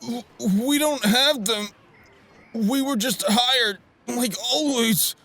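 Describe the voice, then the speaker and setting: A man's voice: very high-pitched, slow, sharp tone, heavily acted. A man playing a cocky, taunting young street thug in an anime-style audio drama, with a sneering manner. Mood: cowed.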